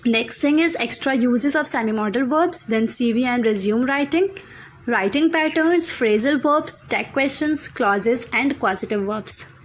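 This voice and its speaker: A woman's voice: on the high side, measured pace, slight background noise. A woman with a Pakistani accent, an online English teacher earnestly introducing her course, running through lists with frequent fillers. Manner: earnest, many fillers, list-like delivery.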